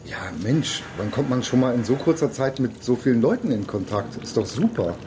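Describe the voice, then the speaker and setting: A man's voice: medium pitch, animated, brisk, noisy background, lightly acted. An actor playing an eager, naive new male employee in a radio drama, chatty and cheerful. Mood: upbeat. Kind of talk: naturalistic radio drama acting.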